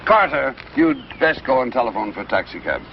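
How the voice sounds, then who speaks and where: An actor playing a dignified, wary cabinet secretary in a vintage television drama, formal and authoritative. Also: male voice, medium pitch, big pitch swings, fairly quick, gravelly. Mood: composed, formal. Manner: formal, authoritative, easily startled. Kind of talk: 1950s television drama acting. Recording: muffled, noisy outdoor scene on vintage soundtrack.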